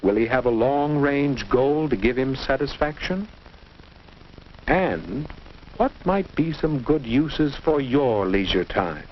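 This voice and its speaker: A man's voice: medium pitch, expressive, slow. A man playing a guiding, didactic American narrator in a 1950 instructional film, on a dull, noisy old soundtrack. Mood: questioning. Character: guiding, fatherly narrator advising a teenage boy.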